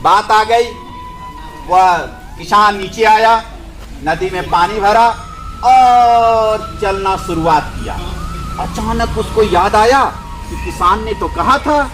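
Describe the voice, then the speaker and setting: Male teacher-storyteller, high-pitched, simple and questioning delivery for children, music bed. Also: slow, fairly bright tone, rough recording. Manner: simple explanatory phrasing, prompting listeners with questions. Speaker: teacher telling a moral story to schoolchildren. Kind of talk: animated storytelling to a class, with questions to listeners. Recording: music laid under the voice.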